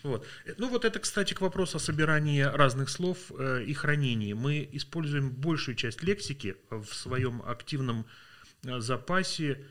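A man from Russia talks as a podcast guest, reflective and unhurried in thought, often pausing on filler sounds. He sounds worn out at the end of a working day. His voice sits at an ordinary middle pitch. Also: animated, a bit bright. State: tired. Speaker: linguist guest. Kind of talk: podcast interview.

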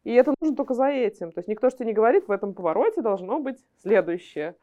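A woman's voice: fairly high pitch, lively intonation, very fast, warm tone, highs cut off. A woman explaining her work informally as an interview guest, wordy and often rephrasing as she goes. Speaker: animation screenwriter and script editor, interview guest.

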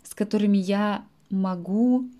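Late-20s female language teacher, native speaker, warm and mellow, patient explainer with soft fillers, podcast monologue. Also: medium pitch, unhurried. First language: Russian. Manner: explains words in simple terms, with frequent soft fillers.

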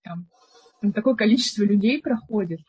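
A young woman co-hosting a podcast, telling stories in a chatty, relaxed way with lots of filler words. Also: mid-range voice, fairly quick, slight background noise. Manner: chatty storyteller, many fillers. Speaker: podcast co-host. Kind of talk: casual chat. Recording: dull, muffled recording.

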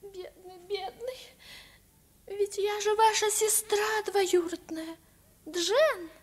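A sweet, pampered young princess speaks extremely high and slowly, drawing out her words. She is tender and theatrical, in the style of an old radio play. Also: steady tone. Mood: pitying. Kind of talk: 1950s radio play acting.

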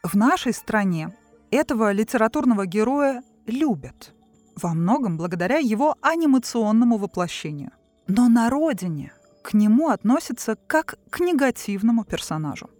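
A woman hosting a quiz show, presenting questions and explanations in a lively way. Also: a little high, normal speed, faint music behind.

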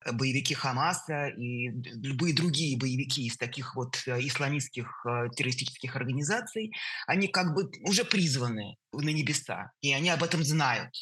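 A woman's voice: extremely low pitch, expressive, moderate pace, fairly bright tone, breathy edge. A woman hosting a podcast who asks probing questions, hesitating and searching for her words. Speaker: podcast host. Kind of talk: interview questions.

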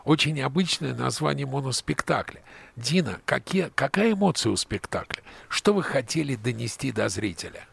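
A man hosting a radio show with a deeply gravelly, husky voice, chatting with his guest, asking questions and telling anecdotes. The sound is dry and close, with no room around it. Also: animated, normal speed, a bit bright, no echo. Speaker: radio host.

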